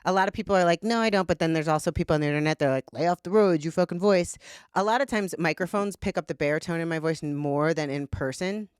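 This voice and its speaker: Blunt, smooth-voiced woman, candid and profane. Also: fairly low pitch, fast, no room sound, studio quality. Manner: blunt, profane, talks with lots of "like". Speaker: podcast guest. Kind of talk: candid casual conversation.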